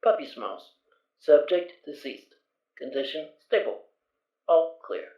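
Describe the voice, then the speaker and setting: A man's voice: fairly high pitch, lively intonation, measured pace, gravelly, lo-fi sound. A man voicing the automated computer of an armored suit, reading out clipped system-status announcements. The audio is roomy and distant. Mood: monotone.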